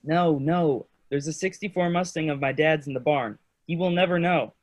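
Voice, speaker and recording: A man playing a loyal, easygoing young friend in a children's fairy tale play, speaking in a plain, low-key way. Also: moderate pace, no echo, lightly acted. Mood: reassuring. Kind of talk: staged reading of children's theater fairy tale.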